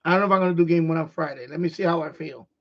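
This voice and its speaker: A middle-aged man from New York with a New York accent, hoarse, chatting casually on his livestream and restarting his phrases as an eager sports fan. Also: fairly high pitch, rapid-fire. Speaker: livestream host. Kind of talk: casual rambling chat. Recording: dull lo-fi stream audio.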